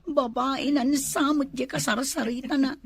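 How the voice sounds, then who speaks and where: A woman's voice: high-pitched, steady tone, moderate pace, vocal fry, no room sound. A woman with an Ilocano accent playing a jealous, fretful middle-aged wife who complains emphatically in a comedy drama. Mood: complaining. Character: jealous, fretful wife suspicious of her husband.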